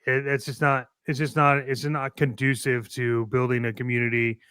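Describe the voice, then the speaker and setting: Smooth, clear-voiced man chatting casually. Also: mid-range voice, little pitch movement, sharp tone, dry recording, clean studio sound. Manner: halting, repeats words, conversational. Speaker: show co-host. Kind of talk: casual chat about toy collecting.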